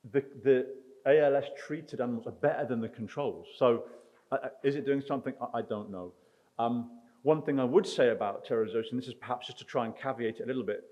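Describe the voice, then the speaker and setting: A man answering audience questions after a science talk, his voice mellow and dark, heard through a slightly dull, lo-fi recording. He answers carefully and hedges, with plenty of ums. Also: mid-range voice. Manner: careful, hedging, with many ums. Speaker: research speaker. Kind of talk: conference Q&A answer. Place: lecture hall.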